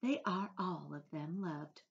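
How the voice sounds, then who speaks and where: A woman's voice: fairly high pitch, big pitch swings, normal speed, fairly bright tone, voice pushed up. A woman voicing a small, genteel female ladybug who speaks with refined, modest pride. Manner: refined, modest, slightly boastful. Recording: dull lo-fi audio.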